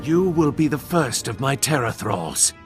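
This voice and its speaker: A man's voice: medium pitch, very animated, unhurried, music in the background. A man playing a cruel, imperious Sith lord in a video game, grandiose and menacing. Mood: gloating.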